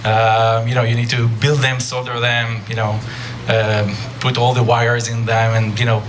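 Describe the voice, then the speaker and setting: A man's voice: mid-range voice, level tone, moderate pace. A man with an Israeli accent giving a live tech talk to an audience, hesitating often with ums and uhs. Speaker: speaker at a nerd talk night. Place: bar venue before an audience. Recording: noisy live room.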